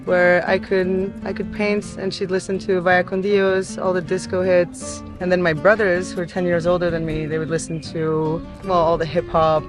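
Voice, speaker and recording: A woman from France, a DJ and singer, talking easily in a casual interview and laughing often. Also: lowish voice. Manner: easygoing, chatty, laughs often. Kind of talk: casual chat.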